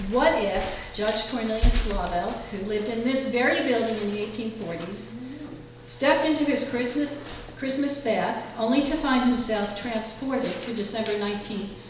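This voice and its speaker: A woman's voice: a little high, moderate pace. A woman host introducing a show to a live audience, with a tongue-in-cheek manner. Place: indoor library hall with audience. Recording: very echoey room, distant mic, clear noise.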